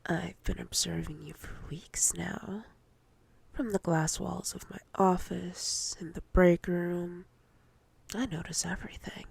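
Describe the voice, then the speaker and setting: A woman voicing a domineering, predatory female boss in intimate roleplay ASMR, speaking in a breathy, often whispered voice with slow, commanding, teasing menace. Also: fairly low pitch, fairly even intonation, a little mellow, exaggerated voice. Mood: teasing, possessive.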